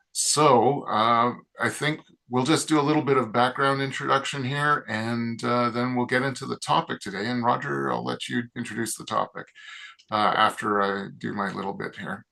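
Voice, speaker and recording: A man's voice: mid-range voice, vocal fry, pushed and bright. A man hosting a panel discussion, talking casually and loosely with frequent fillers. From Canada. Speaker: host, mechanical engineer.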